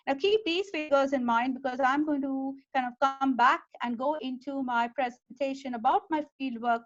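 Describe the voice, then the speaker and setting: Indian-accented woman academic presenting her fieldwork over a video call; high-pitched, searches for words and corrects herself, muffled lo-fi sound. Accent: Indian English. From West Bengal, India. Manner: fillers and self-corrections, explanatory. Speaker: academic speaker. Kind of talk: webinar presentation.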